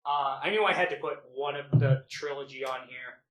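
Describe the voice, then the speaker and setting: A man's voice: mid-range voice, normal speed, fairly bright tone. A man co-hosting a movie podcast, chatting casually and enthusiastically in a rambling, sweary way. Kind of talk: casual chat about favorite films. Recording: roomy, echoey home podcast recording.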